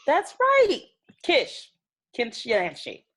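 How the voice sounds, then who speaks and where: Woman acting candid young female fan, wary, swooping intonation, slightly high, comedy script read. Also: huge pitch swings, extremely slow, fairly bright tone, full character voice. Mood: gushing, blunt. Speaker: actor in a scripted table read. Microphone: video call.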